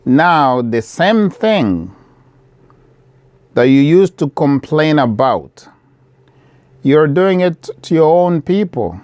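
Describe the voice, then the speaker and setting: Middle-aged man from Congo, earnest camera appeal, very unhurried delivery, clear voice, repeats his points. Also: a little high, big pitch swings, darkish tone, dry recording. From Democratic Republic of Congo. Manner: earnest, measured, repeating key phrases. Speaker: vlogger, business owner. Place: his shop.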